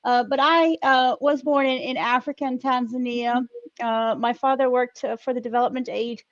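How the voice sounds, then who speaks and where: This is a woman with a fairly high voice, answering interview questions in a conversational way. She works in corporate mobility and talks from home. The sound is dry, with little room in it, but somewhat dull and lo-fi, as on an online call. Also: steady tone, moderate pace, no echo. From Netherlands and South America, mostly Colombia. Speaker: interview guest, corporate global mobility manager.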